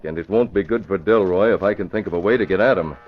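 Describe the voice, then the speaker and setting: Man playing deep-voiced, resolute crime-fighting publisher. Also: natural voice. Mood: firm, decisive. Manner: measured, decisive. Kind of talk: 1940s radio drama acting. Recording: muffled, noisy old radio recording.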